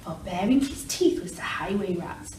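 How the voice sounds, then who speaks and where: A woman reading a rhyming children's storybook aloud like a primary teacher, in an expressive, measured way. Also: fairly low pitch. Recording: roomy, distant mic with clear background noise.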